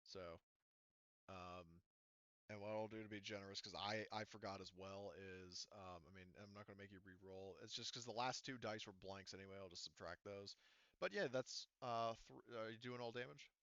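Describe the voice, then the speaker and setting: A man's voice: on the low side, moderate pace, fairly bright tone, clean tone, dry recording, dull audio. A man talking casually as game master, explaining tabletop game rules in a hesitant way, thinking aloud.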